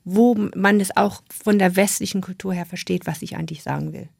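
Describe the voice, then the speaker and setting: A woman's voice: fairly low pitch, steady tone, fairly quick, vocal fry, darkish tone, no room sound, studio quality. A middle-aged woman, a native English speaker, giving a thoughtful radio interview as an author, with frequent restarts and fillers. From Western New Guinea. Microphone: studio microphone.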